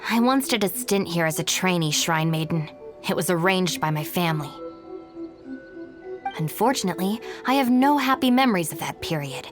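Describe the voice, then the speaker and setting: A woman's voice: lowish voice, expressive, moderate pace, natural voice. A woman voicing a guarded, pragmatic young woman in a video game, speaking in a dry, matter-of-fact way. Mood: reserved, resentful. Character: young woman, guarded, pragmatic, no-nonsense masked gang deputy. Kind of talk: video game character acting. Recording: background music under the voice. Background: music.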